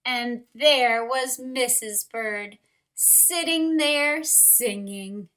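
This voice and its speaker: A woman reading a picture book aloud to young children, expressively, with drawn-out words and wondering asides to them. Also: on the high side, a bit bright. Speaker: storytime reader for young children.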